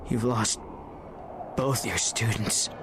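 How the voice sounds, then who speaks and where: An actor playing a weak, remorseful young apprentice in a video game, faint and halting amid noisy ambience. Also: male voice, medium pitch, steady tone, close to an everyday voice. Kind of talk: video game cutscene acting.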